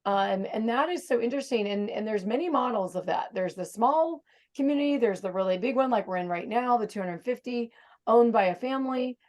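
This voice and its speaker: A woman's voice: fairly high pitch, brisk, a little mellow. A woman hosting a casual video-call interview, rambling and thinking aloud with many fillers. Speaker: host.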